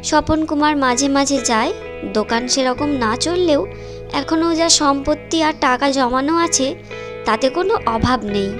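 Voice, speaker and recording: A woman's voice: sits high, moderate pace. A woman narrating a scripted cartoon story as the storyteller. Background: music.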